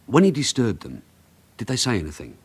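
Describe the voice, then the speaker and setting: A dogged police detective in a radio drama. His middle-pitched voice moves briskly and with lively intonation as he questions and gives orders. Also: slightly rough. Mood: probing, frustrated. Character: male police detective leading a robbery inquiry, dogged, brisk.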